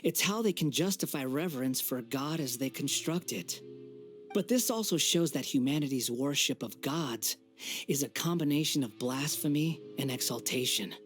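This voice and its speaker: A man's voice: medium pitch, animated, moderate pace, close to an everyday voice. Man acting an arrogant, mocking would-be god, music behind. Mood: haughty. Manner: condescending, theatrical, taunting. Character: arrogant, mocking young man with godlike ambitions. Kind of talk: English-dubbed anime game voice acting. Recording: background music under the voice.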